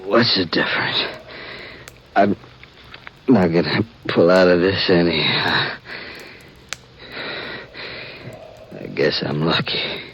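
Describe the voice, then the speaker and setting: A very low, slow-talking rural American outlaw struggles along, weak and breathy from his wounds. He is boastful and desperate, his words halting, in a 1950s radio western on a dull old recording. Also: fairly bright tone, a little hiss or hum. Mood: weak, defiant. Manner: halting, laboured, folksy drawl. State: weak, gravely wounded, acted. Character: dying, boastful male outlaw, stubborn and desperate. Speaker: supporting actor in a radio western. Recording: dull vintage radio recording, music behind.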